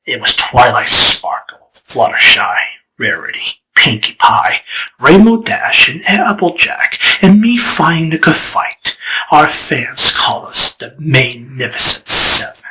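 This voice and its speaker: Man playing a chaos lord, theatrical, bright tone, lively swoops. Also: mid-range voice, slow, a little hiss or hum, heavily acted, rough recording. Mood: nostalgic. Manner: theatrical, showy storyteller. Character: grandiose old male draconequus lord of chaos. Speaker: fan voice actor. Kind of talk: comic fan dub acting.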